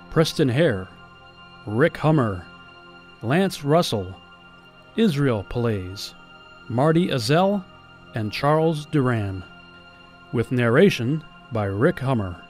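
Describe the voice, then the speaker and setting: A man's voice: mid-range voice, darkish tone. A man reading a scripted credits announcement for an audio drama.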